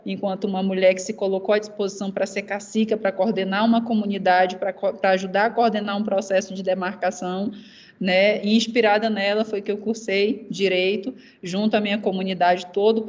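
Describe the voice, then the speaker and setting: Earnest young woman from Maranhão, flat delivery. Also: mid-range voice, lo-fi sound. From Maranhão, Brazil. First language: Zé'eng eté (Guajajara language). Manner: earnest, halting, many repeated fillers. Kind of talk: personal testimony in a panel talk.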